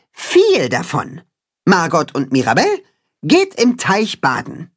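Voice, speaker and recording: A storyteller voicing a bossy, clever cat-hen leader who gives brisk orders in a raised, breathy voice. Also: medium pitch, voice pushed up, full character voice. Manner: brisk, commanding.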